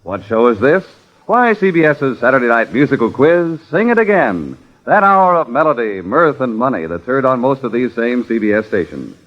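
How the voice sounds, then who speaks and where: An American man announcing on 1950s network radio with polished, punchy salesmanship. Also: mid-range voice. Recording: dull old radio recording.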